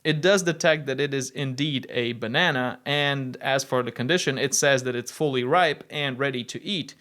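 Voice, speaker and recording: A man's voice: medium pitch, normal speed, bright, forceful tone. A man from Latvia, whose first language is Latvian, narrating a casual tech demo with enthusiastic, amused step-by-step commentary. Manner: enthusiastic, amused, walks through tests step by step. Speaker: tech video host.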